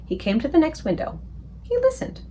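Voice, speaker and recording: Animated woman reading a children's story aloud. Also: high voice, a little fast, slight background noise. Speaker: read-aloud book club reader. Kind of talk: expressive children's story narration.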